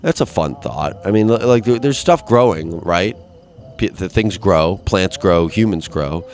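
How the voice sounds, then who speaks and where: A man's voice: low-pitched, expressive, a little slow, a little mellow, soft background music. Young man rambling, stuffy nosed. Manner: rambling, crude, self-deprecating, many restarts. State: stuffed up nose. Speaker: podcast host. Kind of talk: rambling solo monologue.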